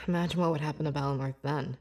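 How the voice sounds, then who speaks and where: A woman playing a young, devoted beast-folk scribe in a fantasy video game, with earnest, measured and expressive delivery. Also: deep voice. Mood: explanatory, friendly. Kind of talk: scripted video game character dialogue.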